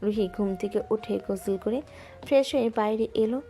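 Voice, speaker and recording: Mellow woman narrating a love story. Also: mid-range voice, normal speed. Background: soft background music.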